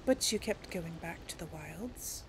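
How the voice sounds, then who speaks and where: A woman's voice: fairly low pitch, animated, unhurried, slight background noise, low-quality recording. A woman playing a role-play video game, talking in a casual, musing way as she comments and voices dialogue choices. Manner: giggly, musing. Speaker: gamer hosting a role-play let's play.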